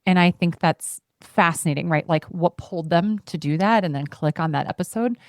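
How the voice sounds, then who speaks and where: A woman's voice: on the low side, normal speed, no echo, clean studio sound. A woman in her thirties hosting a podcast, chatting casually and passionately, playful, putting on voices when she quotes her family. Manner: passionate and expressive, heart on sleeve. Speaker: podcast host. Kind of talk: casual conversation.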